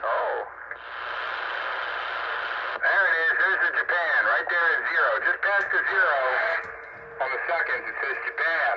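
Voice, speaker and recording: Noisy lo-fi radio, higher-voiced folksy man. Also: a little slow, pauses often. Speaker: host of an amateur radio round-up. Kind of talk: casual radio chat. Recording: dull lo-fi radio with clear noise.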